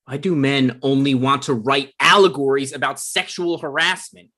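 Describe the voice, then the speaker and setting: An actor at a comedy table read playing a petty, self-important production coordinator, dry and argumentative. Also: male voice, medium pitch, steady tone, normal speed, sharp tone. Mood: needy, frustrated.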